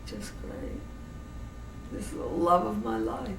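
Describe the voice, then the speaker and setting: An elderly woman from New Orleans with a general American accent, slowly reminiscing in an interview with many restarts. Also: medium pitch, vocal fry. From New Orleans, USA. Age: older. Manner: slow, meandering, with many restarts. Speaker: interviewee, great-grandmother. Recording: noisy, roomy home recording from a distance.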